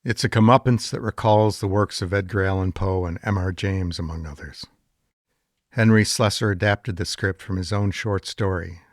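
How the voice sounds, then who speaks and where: A man's voice: low-pitched, no echo, clean studio sound. A man presenting a program in a scripted documentary narration style.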